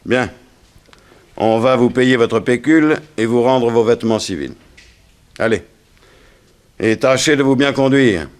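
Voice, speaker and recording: A man's voice: medium pitch, measured pace, pauses often, lightly acted. An actor playing a formal, curt male prison warden in a 1960s French radio play. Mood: stern.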